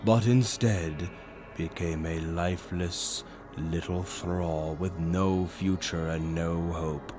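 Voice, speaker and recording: A man voicing a flamboyant, sinister demon tempter in a roleplay monologue, taunting the listener with theatrical, drawn-out emphasis. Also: low voice, steady tone. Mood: menacing. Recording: background music under the voice. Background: orchestral waltz-style music.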